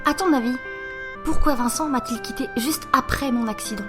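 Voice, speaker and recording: A woman's voice: high-pitched, normal speed, over music, close to an everyday voice. An actress playing a bitter, depressed seventeen-year-old girl who has lost her sight, in an amateur audio drama. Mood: bitter, dejected.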